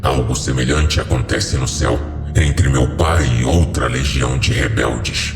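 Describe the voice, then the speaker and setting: Man voicing a very deep, echoing fallen angel. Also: fairly even intonation. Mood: grave, conspiratorial. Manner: slow, grave, grandiose. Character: solemn angelic fallen angel, ancient lord of hell. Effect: cavernous echo and reverb on a lowered voice. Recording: echoing hall reverb over music.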